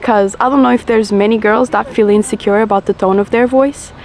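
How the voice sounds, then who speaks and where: Low-voiced young woman, reflective chat. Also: steady tone, a little fast, a little mellow, slight background noise. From Portugal. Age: 20s. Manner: reflective, rambling, confessional; smoking while talking. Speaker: vlogger. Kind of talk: casual chat to camera.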